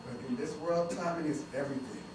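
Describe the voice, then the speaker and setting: A man plays a young cop who lectures his partner about timing and trouble, talking quick and a touch high. The voice is distant and echoey, with noise under it. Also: natural voice. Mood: warning. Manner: scolding, warning. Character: wary, fatherly young male cop partner. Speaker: actor at a table read. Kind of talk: table read acting. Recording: echoey room from a distance, noisy.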